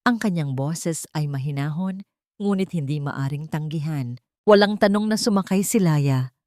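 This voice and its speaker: A woman narrating a scripted dramatic story with deliberate phrasing, as an audiobook narrator. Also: low voice, darkish tone, no room sound. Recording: music bed behind a dry voice. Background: background music.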